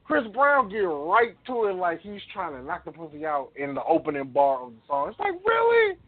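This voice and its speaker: A man's voice: high-pitched, wildly swooping pitch, moderate pace, no echo. A man co-hosting a radio show in casual, opinionated banter, blunt and slangy, repeating phrases for emphasis. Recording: tinny, phone-like internet radio audio.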